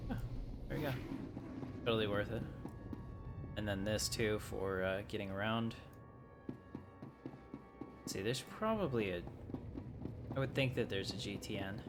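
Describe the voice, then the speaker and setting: A man's voice: on the low side, lively intonation, a little slow, pauses often, over music. A man giving casual, easygoing live gameplay commentary, thinking aloud as he plays. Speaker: gaming streamer.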